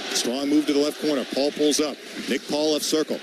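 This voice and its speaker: A man calling live hockey on the radio in clipped, quick phrases as play moves. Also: a little high, fairly even intonation. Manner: clipped, rapid-fire phrases following the action. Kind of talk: live sports commentary. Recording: noisy radio broadcast from an arena.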